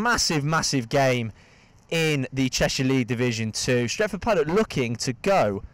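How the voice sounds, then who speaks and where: A man's voice: medium pitch, no echo. A man giving enthusiastic football match commentary.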